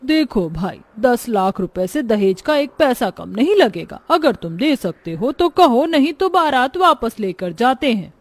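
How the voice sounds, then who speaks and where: A woman's voice: a little high, very animated, a little slow, few pauses, no room sound, pitched above the performer's own voice, full character voice. A woman voicing a hard-bargaining mother of two grooms in a cartoon story, blunt and insistent. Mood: demanding.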